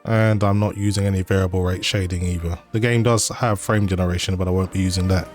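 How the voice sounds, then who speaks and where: A man hosts his gaming channel in relaxed, conversational commentary, with a few fillers as he walks through his settings. His voice sits a little low, and faint game music plays underneath. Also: fast. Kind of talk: casual gameplay commentary.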